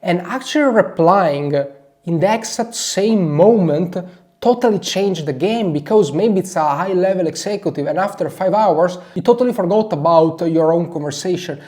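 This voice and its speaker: A man from Italy with an Italian accent, a non-native English speaker giving an energetic, emphatic screen-share tutorial and leaning on "actually" a lot. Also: high-pitched. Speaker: marketing advisor.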